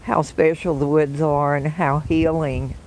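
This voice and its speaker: An older woman with a hoarse, froggy morning voice, chatting gently and affectionately with live viewers from the woods. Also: deep voice, flat delivery, a little slow, slight background noise, poor audio. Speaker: vlogger greeting live viewers. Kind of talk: casual live chat. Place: outdoors in the woods.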